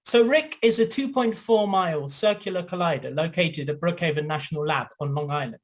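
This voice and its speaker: A man's voice: a little high, a little fast. A man hosting a live online science broadcast, introducing his colleagues with fillers and small laughs. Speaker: host.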